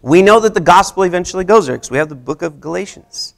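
Man in his thirties, pastor, high voice, lively swooping delivery, conversational sermon, asks the congregation questions. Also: fast, vocal fry. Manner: animated, conversational preaching with audience questions. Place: church hall with seated congregation. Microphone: handheld mic, far camera.

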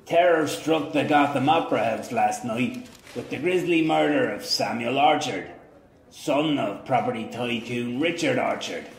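A man performs a gruff nineteenth-century police captain for a noir audio drama. He is cynical and sardonic, with deliberate phrasing and a mid-pitched voice. The sound is noticeably roomy and echoing. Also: slight background noise. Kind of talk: audio drama, reading aloud and noir narration.

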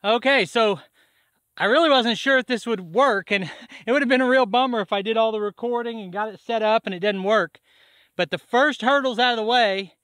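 A man's voice: high voice, fairly bright tone, no room sound, studio quality. A man in his thirties casually explaining a farm tool project outdoors, step by step, in a chatty vlog style. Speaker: farm vlogger. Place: outdoors at a farm, by a garage and a pond.

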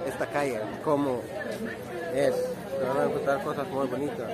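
A man's voice: mid-range voice, moderate pace. Egyptian man vlogging through a noisy market. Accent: Egyptian Arabic. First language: Arabic. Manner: relaxed, chatty, loose non-native Spanish with frequent restarts. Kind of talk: walking vlog to camera. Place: busy outdoor street market. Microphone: handheld phone at arm's length. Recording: loud street crowd and music behind. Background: market crowd, traffic, music.

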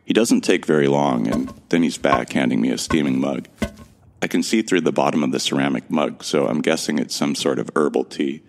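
A man with an extremely deep, gravelly voice narrates a sci-fi audio drama in the first person. He sounds earnest and a little lost as he tells the story. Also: brisk, slight background noise. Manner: earnest, bewildered. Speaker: narrator and lead actor.

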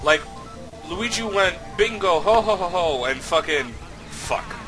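Excitable swearing young man gaming. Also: high voice, a little slow, over music. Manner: excitable, swears a lot, loud outbursts. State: a little sick, slightly nasal. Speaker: let's play host. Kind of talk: casual gameplay commentary.

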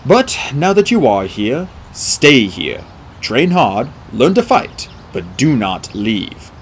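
A man acts out a middle-aged centaur mentor in a storybook. He is dignified and kindly, choosing his words formally and deliberately. His delivery rises and falls very widely, with faint noise behind it. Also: medium pitch, sing-song. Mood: earnest warning. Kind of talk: audiobook character dialogue.

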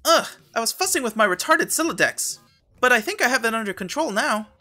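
A woman voicing an excitable, easily exasperated young boy in a web comic dub, with a casual, exclamatory delivery. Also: a little high, fairly quick, soft background music. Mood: excited, curious.

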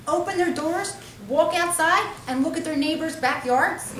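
A woman voicing a loud, teasing working-class young man of twenty-two, reading him in a storybook with a blustering, slangy manner. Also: extremely high pitch, normal speed, voice pushed up. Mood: exasperated. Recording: roomy, distant, faintly noisy.

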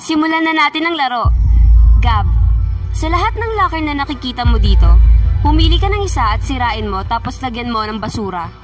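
A woman's voice: sits high, sing-song, a little fast, distant mic. A woman playing a bossy, snooty teenage girl who leads her clique, commanding and taunting, in a radio drama. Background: background music.

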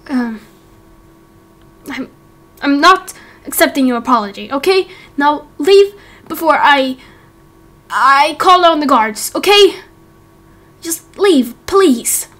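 Woman as an awkward, bright-voiced young angel man. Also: high-pitched, expressive, exaggerated voice. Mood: upset. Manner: hesitant, halting. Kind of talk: Minecraft roleplay voice acting.

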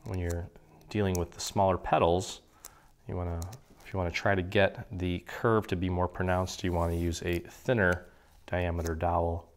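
A man with a slightly hoarse voice from a cold, walking through a paper craft project in a relaxed, chatty way. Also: deep voice, moderate pace. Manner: relaxed, chatty, clears his throat now and then. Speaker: craft tutorial host. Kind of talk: casual step-by-step crafting instruction. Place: indoor craft table.

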